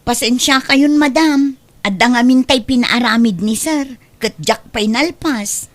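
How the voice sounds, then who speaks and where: A woman's voice: fairly high pitch, unhurried, no room sound, close to an everyday voice. A woman playing a hardworking housemaid in an Ilocano comedy drama, meek to employers and teasing with peers. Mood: meek, apologetic. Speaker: voice actress.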